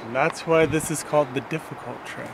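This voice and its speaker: A man's voice: fairly high pitch, fairly quick, gravelly. A young man co-hosting a hiking vlog, chatting and joking on a steep outdoor trail. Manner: joking, upbeat. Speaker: co-host. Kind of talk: casual hiking vlog chat. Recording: noisy outdoor camera audio.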